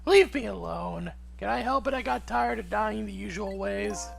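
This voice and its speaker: A man's voice: sits high, moderate pace, gravelly, faint music behind, pitched above the performer's own voice. A man voicing a chatty, stubborn young woman detective from a video game. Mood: defensive, wry. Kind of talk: video game character dub in a let's play.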